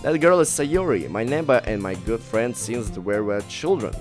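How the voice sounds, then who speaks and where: Young man, gaming commentary, reading text aloud, animated intonation, background music. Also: mid-range voice, moderate pace. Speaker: gaming YouTuber.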